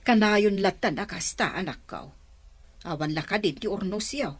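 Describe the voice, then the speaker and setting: A woman's voice: mid-range voice, normal speed, no room sound, natural voice. A woman playing a stern older mother in a radio drama, who chides and lectures her son. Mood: chiding.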